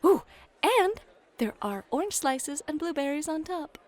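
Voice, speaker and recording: A woman's voice: sits high, unhurried. A woman playing a playful, teasing fairy hostess and game master in a flirty ASMR roleplay, talking straight to the listener. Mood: cheerful. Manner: flirty, teasing, excitable, addresses listener directly.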